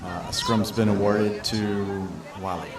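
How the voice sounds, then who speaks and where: A man's voice: on the low side, steady tone, measured pace, a crowd in the background. An American man giving live play-by-play commentary on an amateur match, explaining the rules as he goes. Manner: explains rules to newcomers, fillers and restarts. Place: outdoors at a sports field. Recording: echoey outdoor sound from far off with loud noise.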